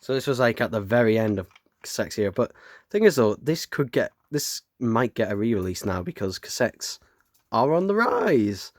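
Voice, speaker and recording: A British man with a croaky voice from hay fever, chatting casually as he unboxes and rambles with lots of fillers and restarts. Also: mid-range voice, very animated, normal speed, no echo. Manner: rambling, filler-heavy, self-correcting. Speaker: unboxing vlogger. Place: room at home with window open.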